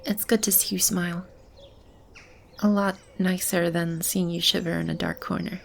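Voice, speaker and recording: A woman playing a gentle, nurturing keeper of a magical animal shelter in an ASMR roleplay, speaking softly and soothingly to a child. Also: lowish voice, fairly even intonation, moderate pace, creaky, slight background noise. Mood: tender, amused.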